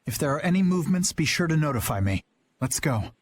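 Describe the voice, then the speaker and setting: An actor playing an earnest, principled young knight captain in a video game, speaking in a measured, thoughtful way. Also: male voice, fairly high pitch, very animated. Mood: solemn. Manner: measured and reflective, rising to a rousing rally. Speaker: knight commander.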